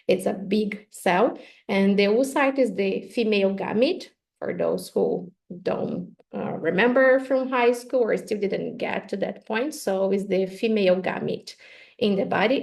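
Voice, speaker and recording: A woman's voice: medium pitch, vocal fry, darkish tone. A woman in her thirties with a Brazilian Portuguese accent, a native Portuguese speaker, giving a chatty, informal academic webinar talk over a video call. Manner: chatty and friendly, many fillers like "so" and "like".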